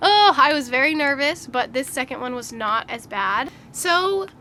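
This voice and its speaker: A woman's voice: sits high, animated, moderate pace, pushed and bright, a little hiss or hum. A woman, a mother of three, chatting candidly to camera in a casual vlog, slightly congested and nasal, laughing often.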